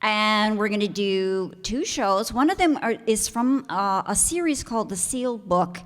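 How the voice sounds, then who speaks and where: A woman's voice: medium pitch, expressive, unhurried. A woman, a theater director, making informal announcements to an audience in a town hall. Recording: reverberant hall.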